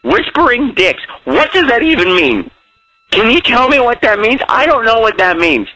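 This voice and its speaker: A man's voice: very high voice, big pitch swings, moderate pace, sharp tone, no room sound, low-quality recording. A drunk man rambling in a campy, comic way as he leaves a casual voicemail over the phone. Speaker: listener phoning in a voicemail.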